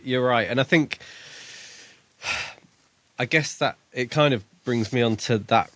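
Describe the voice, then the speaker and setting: A man co-hosting a podcast, casually discussing a book in a relaxed way, asking questions and laughing easily. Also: medium pitch, measured pace, no echo. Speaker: podcast co-host.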